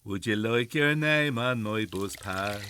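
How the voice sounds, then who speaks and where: A man's voice: on the low side, slow, sharp tone, a little hiss or hum. A man playing a playful, doting Irish husband in his sixties, jokey and charming, in a radio drama.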